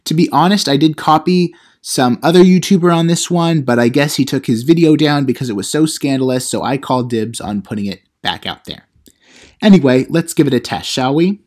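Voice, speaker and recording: A man's voice: mid-range voice, brisk, a little mellow, close to an everyday voice. A man playing a cheeky, self-important teenage vlogger who gives mock how-to advice in an audio comedy. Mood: smug, instructive. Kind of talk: comedic how-to vlog monologue.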